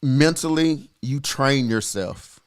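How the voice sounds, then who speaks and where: A man in his forties, a podcast co-host, telling a personal story in a reflective, earnest way with frequent pauses and fillers. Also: mid-range voice, animated, clean tone. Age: 40s.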